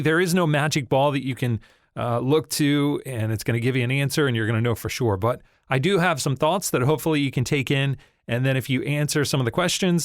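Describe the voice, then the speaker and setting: A man's voice: mid-range voice, no room sound. Guy hosting a voice-over podcast, friendly coach vibe, dry close mic. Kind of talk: scripted podcast teaching.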